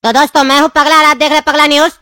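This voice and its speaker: A man voicing a chatty, nutty news anchor turned dog in a cartoon mock newscast, with a Bihari Hindi accent and a pitched-up voice. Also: level tone, racing, pushed and bright, no echo, studio quality. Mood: announcing.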